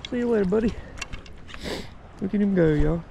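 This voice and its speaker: A man with a high, creaky voice talks in a folksy, exclamatory fishing-vlog style. He is recorded outdoors with clear ambient noise around him. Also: moderate pace, highs cut off. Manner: folksy, exclamatory, mild swearing. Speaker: fishing vlogger. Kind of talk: casual excited chat while fishing. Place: outdoors at a trout stream.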